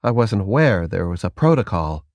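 A man voicing a dry, defiant captive female soldier in an audiobook, with a deadpan, sardonic manner. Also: deep voice, normal speed, no room sound. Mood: dry, curious. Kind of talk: audiobook character dialogue.

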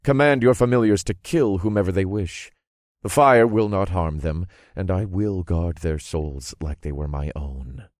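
A man voicing a smug, cruel dark lord in an audiobook, with a deliberate, growling, sneering manner. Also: deep voice, no echo, studio quality. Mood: commanding. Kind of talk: audiobook character voice.

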